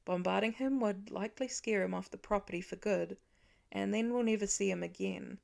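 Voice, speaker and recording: A woman voicing a weary, protective father, earnestly and gently explaining things to his children in an audiobook. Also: mid-range voice, a little fast, gravelly. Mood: gentle, firm. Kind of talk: acted audiobook dialogue.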